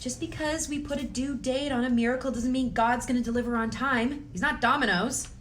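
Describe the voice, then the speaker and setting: A woman's voice: a little high, a little fast, a bit bright, a little hiss or hum, close to an everyday voice. A woman playing an earnest, persistent, devout young small-town woman in a comedy script reading. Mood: insistent. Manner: insistent, hopeful. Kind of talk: script table read of a comedy.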